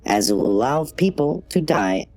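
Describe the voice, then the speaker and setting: A man voicing a formal, emotionless artificial intelligence in a sci-fi audio drama, polite and measured. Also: mid-range voice, sing-song, darkish tone, no echo, close to an everyday voice. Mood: detached, explanatory. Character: polite, detached trauma-assistance artificial intelligence serving its company.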